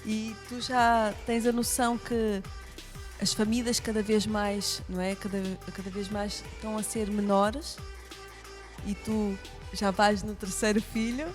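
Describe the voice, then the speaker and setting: A woman's voice: mid-range voice, little pitch movement, measured pace, soft background music. A woman with a European Portuguese accent hosting a conversational interview programme for women. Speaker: host of a women's church programme.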